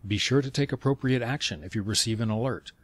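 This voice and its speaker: A man reading scripted instructional narration in a measured, step-by-step way. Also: medium pitch, dry recording.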